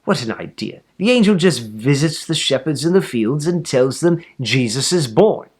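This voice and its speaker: A man voicing a brisk, exasperated mother in a storybook, speaking firmly and insistently. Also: mid-range voice, lively intonation, breathy edge. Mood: dismissive. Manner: firm, insistent. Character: exasperated, brisk, firm mother running a pageant.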